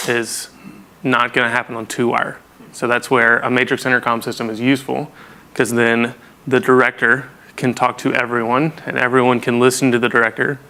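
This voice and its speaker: A man's voice: medium pitch, creaky, pushed and bright. A man presenting an informal technical lesson, explaining things in a casual, plain-spoken way. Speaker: presenter of a technical training session.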